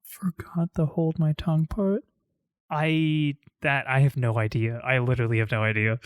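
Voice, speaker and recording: A man's voice: medium pitch, steady tone, dry recording. A young man co-hosting a podcast, joking and teasing his friend in casual chat. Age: young adult. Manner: joking, teasing, quick asides. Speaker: podcast co-host.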